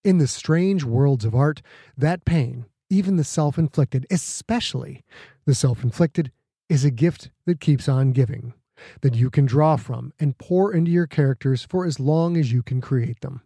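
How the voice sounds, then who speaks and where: A man's voice: mid-range voice, measured pace, gravelly, mellow, slightly rough, no echo, studio quality. A man reading a scripted, reflective author's note aloud.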